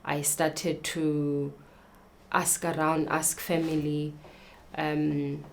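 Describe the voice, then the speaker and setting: A woman in her thirties from Zimbabwe tells her own story in a low, deep voice. She talks slowly and carefully, stopping often with hesitant ums as she gathers her words. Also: level tone, slight background noise. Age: 30s. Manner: slow, careful, with many pauses and ums. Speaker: guest. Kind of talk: personal appeal, telling her story.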